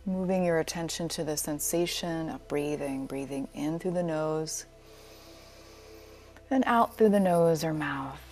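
A woman teaching yoga nidra, leading a guided relaxation in a soothing, unhurried, gently inviting way. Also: low voice, faint music behind. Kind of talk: guided meditation.

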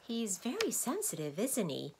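A woman's voice: mid-range voice, wildly swooping pitch, moderate pace, noisy background, voice pushed up. A woman voicing a polite, curious young boy in a storybook, innocent and questioning. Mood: polite curiosity.

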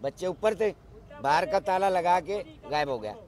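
Urgent eyewitness man, high voice. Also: animated, normal speed. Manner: urgent, recounting step by step. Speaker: neighbor and eyewitness. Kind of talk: eyewitness account to press. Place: outdoors in a crowded street. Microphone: cluster of press microphones.

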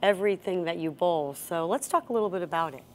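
A middle-aged woman with a lowish voice leads a bowling lesson as host. She explains things step by step and prompts her co-host with guiding questions. She sits at a table in a bowling center. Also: lively intonation, quick pace, a little hiss or hum. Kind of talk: instructional chat.

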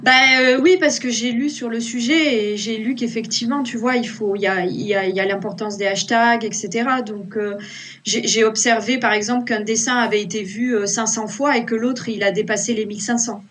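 A woman's voice: fairly high pitch, fairly even intonation, fairly quick, fairly bright tone, husky. A woman in her thirties, an archaeological illustrator, talking casually on a video call from a small room at home, with many fillers and restarts. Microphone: laptop webcam on a video call.

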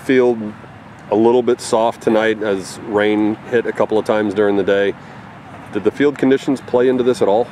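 A man interviewing a coach after a game, asking measured prepared questions outdoors. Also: lowish voice, steady tone, a little mellow, a little hiss or hum.

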